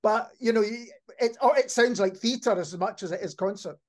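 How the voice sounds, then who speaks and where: A man's voice: high voice, normal speed. Male podcast host, conversational and appreciative, affirming what the guest says. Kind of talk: conversational interviewing.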